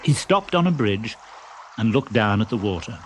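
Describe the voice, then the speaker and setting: A man reading a children's story as scripted, expressive narration. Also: on the low side, normal speed, soft background music, low-quality recording.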